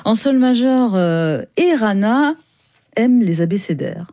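A woman hosting a radio interview, with lively, wry remarks. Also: on the high side, slow pace. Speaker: radio host.

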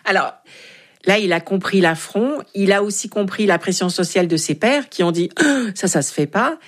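A woman speaking as an expert guest in a radio interview, explaining deliberately and listing points one by one. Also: medium pitch, animated.

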